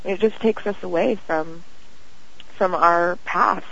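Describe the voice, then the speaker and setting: A young woman, a guest on an interview, who talks in a dreamy, halting way full of fillers and pauses. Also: fairly low pitch, fairly even intonation, gravelly, fairly bright tone. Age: young adult. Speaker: guest, singer and actress. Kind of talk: casual interview chat. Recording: dull lo-fi audio.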